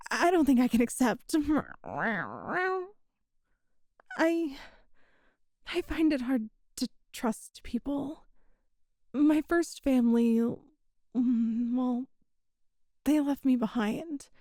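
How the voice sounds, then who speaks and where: A woman voicing a shy, hesitant young catgirl thief in an ASMR roleplay, speaking softly with stammers and little meows, close to the mic. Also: high voice, no echo. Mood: sheepish. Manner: soft, hesitant, stammering, meows between phrases. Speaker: voice actor.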